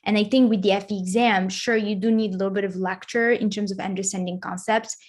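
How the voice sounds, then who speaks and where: A woman hosting an interview in a relaxed, conversational way. She sounds empathetic and chatty, leaning on fillers as she reacts to her guest. Her voice sits at a middle pitch. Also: fast. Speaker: interview host, exam tutor.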